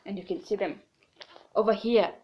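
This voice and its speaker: A woman reading a children's book aloud in an expressive, animated storytelling manner, pausing so a child can join in. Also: medium pitch, muffled recording.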